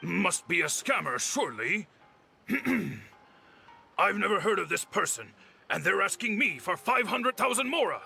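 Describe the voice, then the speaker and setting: Elderly grandfather, gullible, earnest, creaky, quavering, piercingly bright, dramatic video game voice. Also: high-pitched, big pitch swings, unhurried, faint music behind, heavily acted. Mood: suspicious. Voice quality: creaky, strained.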